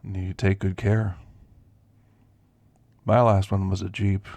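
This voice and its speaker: A man playing a kindly elderly werewolf in an ASMR roleplay, with a gravelly voice and a folksy, gently chatty manner. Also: lowish voice, no room sound. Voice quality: gravelly, creaky. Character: kindly, frail elderly male werewolf, teasing and grandfatherly. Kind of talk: ASMR roleplay, intimate character monologue.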